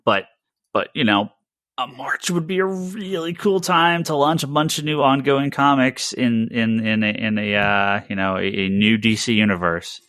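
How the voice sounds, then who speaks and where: A man's voice: medium pitch, moderate pace, a bit bright, dry recording, clean studio sound. A man hosting a casual, informal discussion of comics news. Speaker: podcast host.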